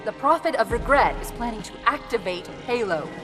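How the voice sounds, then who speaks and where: A woman playing a sharp, wry artificial intelligence companion in a video game, crisp and alert in manner. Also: on the high side, expressive, a little fast, over music, close to an everyday voice.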